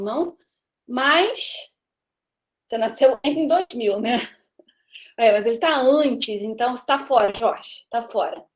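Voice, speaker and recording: A woman in her thirties from Brazil giving a live classroom lecture, chatty and teasing with her students, often checking in with them. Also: high voice. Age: 30s. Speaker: university lecturer.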